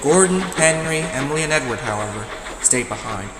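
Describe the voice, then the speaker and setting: A man narrating a dark children's story as scripted audio drama, with dramatic delivery and deliberate pauses. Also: a little high, lively intonation, background noise. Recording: echoey, reverberant hall sound. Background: loud music.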